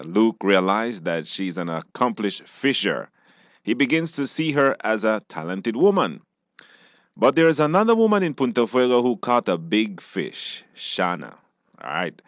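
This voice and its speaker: A man's voice: on the low side, a bit bright, no room sound. A man with a Belizean accent presenting a radio program informally, reading a story recap in a studio. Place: dry broadcast studio.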